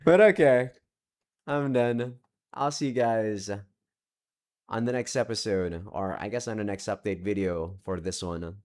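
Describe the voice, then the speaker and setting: Rambling young man, low voice. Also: measured pace, a little mellow. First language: not Japanese. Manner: rambling, self-interrupting, swears casually. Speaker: visual novel let's play creator. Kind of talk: casual rambling update to viewers. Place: home room with several monitors.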